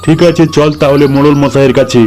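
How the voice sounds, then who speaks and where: A man acts a dishonest elder brother in a village cartoon. His voice sits a little high, and he talks in a bossy, scheming way. Soft music runs underneath. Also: fairly even intonation, quick pace, natural voice. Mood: self-serving.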